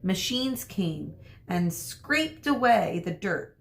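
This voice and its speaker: A woman speaking as an elementary school teacher, reading a story aloud to children and pausing to explain things. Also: fairly low pitch, very animated, gravelly. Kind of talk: read-aloud lesson for children.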